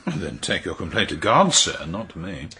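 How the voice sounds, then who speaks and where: An actor playing a cold, sardonic, jealous nobleman in a period radio drama, sneering and theatrical, with a raspy voice. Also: low-pitched, big pitch swings, normal speed, sharp tone, full character voice. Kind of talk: period radio drama acting, narration and dialogue.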